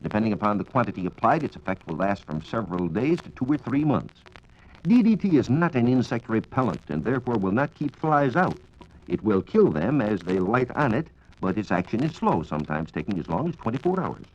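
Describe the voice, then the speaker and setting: Husky, low-voiced 1940s American radio science reporter. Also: male voice, very animated, a little fast. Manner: precise, formal explaining. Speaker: science reporter answering listener questions. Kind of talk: 1940s radio scripted explanation. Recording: dull lo-fi 1940s radio recording.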